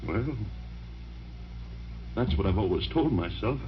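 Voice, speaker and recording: A man's voice: lowish voice, lively intonation, takes it slowly. An actor playing a defensive, tormented man holding a grudge, curt and brooding, in an old-time radio drama. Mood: bitter, anguished. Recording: muffled, slightly noisy vintage radio recording.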